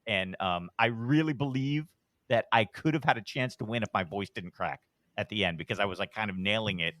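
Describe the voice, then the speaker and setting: A middle-aged man with a deep voice, telling a long rambling story on a podcast in a relaxed, self-deprecating way. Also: a little fast, no room sound. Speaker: podcast host, guest. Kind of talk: casual storytelling.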